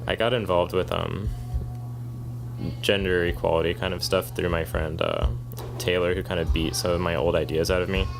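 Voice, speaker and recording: A man co-hosting a podcast, talking at length in a monotone, argumentative way in casual chat. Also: low voice, moderate pace, creaky, over music. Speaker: podcast co-host, activist. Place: kava bar.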